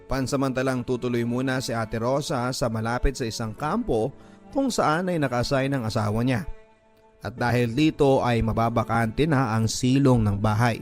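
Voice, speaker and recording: A man narrating a listener's letter in first person for a radio drama, reading it dramatically and with feeling, slowing down at the sad parts. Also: medium pitch, a little mellow. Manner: emotive, slowing on sad moments.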